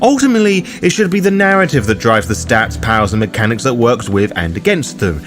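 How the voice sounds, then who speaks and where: Man narrating with lively, swooping pitch over music. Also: fairly quick. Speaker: host of a roleplaying game guide. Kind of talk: scripted narration.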